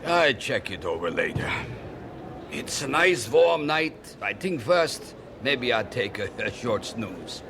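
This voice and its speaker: Drowsy German organist, widely swinging pitch. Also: male voice, sing-song, takes it slowly, gravelly, heavy background noise, exaggerated voice. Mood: drowsy, obliging. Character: sleepy, deferential German church organist, a man. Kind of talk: audio drama acting.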